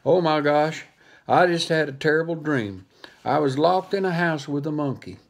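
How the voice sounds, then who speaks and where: A man's voice: fairly high pitch, normal speed, vocal fry. A man voicing a timid, fretful little male dog who whines anxiously. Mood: worried. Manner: anxious, whiny.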